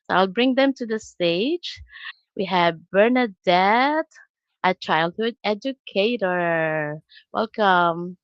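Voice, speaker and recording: A woman's voice: medium pitch, slow pace, no room sound. An older woman hosts a live online panel from home and greets each guest by name. Her voice comes through a dry, slightly muffled stream, and she stumbles and restarts now and then as she reads out her questions. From Canada.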